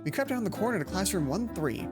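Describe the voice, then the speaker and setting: A woman's voice: medium pitch, quick pace, loud music behind the voice. A woman hosting a let's play, reading a visual novel aloud with casual commentary and acting out the dialogue. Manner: animated, acts out dialogue.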